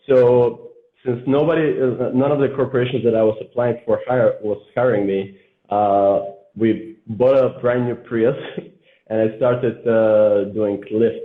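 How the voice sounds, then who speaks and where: A man's voice: medium pitch, fairly even intonation, unhurried. A Bulgarian-born man, a photographer, giving long rambling interview answers full of fillers. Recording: echoey, tinny phone-like sound.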